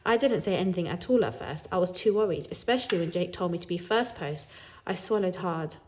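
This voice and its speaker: Expressive woman reading a children's story. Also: fairly low pitch, brisk, slight background noise. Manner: animated, acts out dialogue. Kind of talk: expressive read-aloud of a children's book.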